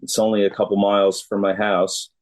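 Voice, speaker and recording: A man's voice: lowish voice, flat delivery, a little fast. A middle-aged American man, a musician chatting in a laid-back, plain-spoken way as an interview guest. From United States. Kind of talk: casual interview conversation.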